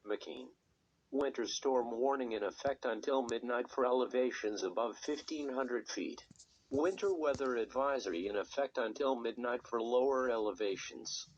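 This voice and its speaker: A synthetic text-to-speech male voice reading a scripted weather radio forecast in a measured, impersonal way. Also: mid-range voice, slight background noise. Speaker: automated weather radio announcer. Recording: dull lo-fi weather radio recording.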